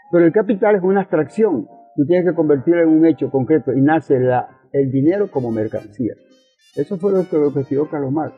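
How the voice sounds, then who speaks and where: Older Venezuelan man, husky, phone-like sound. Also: fairly high pitch. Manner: didactic, asks rhetorical questions and repeats key phrases. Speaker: interviewed communist politician and professor. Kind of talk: conversational political explanation in an interview. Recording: thin phone-like radio sound with music.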